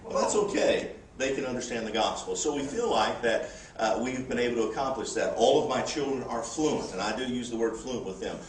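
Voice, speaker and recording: A man's voice: medium pitch, brisk, few pauses. Man in his forties, missionary speaker, folksy and joking, distant mic, echoing church, faint hiss. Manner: folksy and humorous, with many "uh" fillers. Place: church sanctuary.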